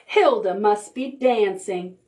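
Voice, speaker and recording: A woman's voice: medium pitch, takes it slowly, a little mellow, natural voice. A woman voicing exasperated storybook jungle animals who plead and complain in rhyme.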